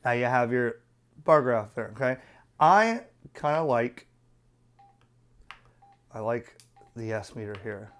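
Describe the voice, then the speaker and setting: A man's voice: mid-range voice, expressive, takes it slowly, long pauses, clear, resonant tone. A middle-aged man giving a casual product review in a chatty, opinionated, colloquial way. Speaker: radio reviewer.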